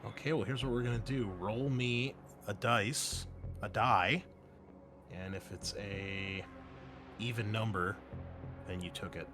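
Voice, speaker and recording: A man running a tabletop roleplaying game as game master, explaining rules and scenes with thinking pauses. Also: on the low side, fairly even intonation, a bit bright. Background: music.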